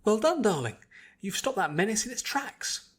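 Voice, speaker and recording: A man's voice: sits high, very animated, normal speed, husky, voice pushed up, exaggerated voice. A man voicing a refined, prissy female pony lady with a genteel, fussy way of talking. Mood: gracious praise. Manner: genteel, fussy, drawn to endearments.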